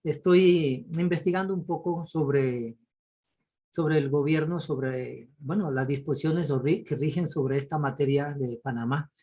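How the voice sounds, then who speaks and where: A man's voice: a little high, measured pace. A man from Ecuador, a course participant, talking casually and animatedly in an online class, exclaiming as he tells examples. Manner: apologetic, animated storytelling with exclamations. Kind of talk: casual video-call discussion.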